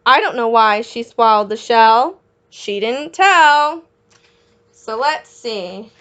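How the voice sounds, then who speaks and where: A woman reading a picture book aloud as a children's teacher, encouraging young listeners with questions and pausing for their answers. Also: a little high, lively intonation, fairly bright tone, clear, resonant tone.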